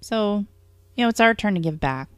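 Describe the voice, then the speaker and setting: A woman's voice: medium pitch, expressive, normal speed, no echo. A woman co-hosting a podcast, chatting casually in an agreeable, supportive way. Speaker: podcast co-host. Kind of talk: casual chat.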